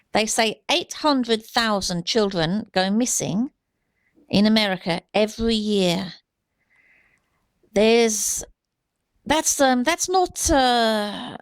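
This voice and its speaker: Woman, gravelly fry, dry close sound, halting earnest monologue. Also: a little high, dry recording. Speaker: amateur video commentator. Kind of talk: unscripted opinion monologue.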